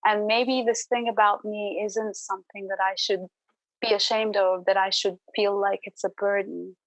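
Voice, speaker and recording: A woman talks as an interview guest, reflective and earnest, in an encouraging way. Her pitch sits in the middle of the usual female range. The sound is close and dry, with very little room. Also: little pitch movement, moderate pace, no room sound, poor audio. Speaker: podcast guest.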